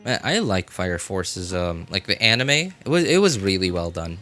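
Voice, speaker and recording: A man with a fairly low, bright and punchy voice commentates casually on a livestreamed game. He rambles and thinks out loud as he goes. Faint music plays behind him. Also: sing-song, moderate pace. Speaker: game streamer.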